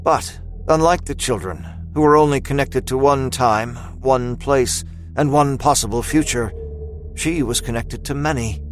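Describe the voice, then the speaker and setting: A man's voice: medium pitch, unhurried, a little hiss or hum. A man narrating a scripted audio drama in a dramatic storytelling style.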